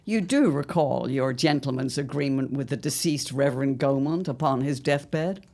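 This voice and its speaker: A woman's voice: a bass voice, normal speed, darkish tone. A woman playing a shrewd, scheming mother of a grown son in an audio drama, with a grand, theatrical, drawling delivery. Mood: disdainful.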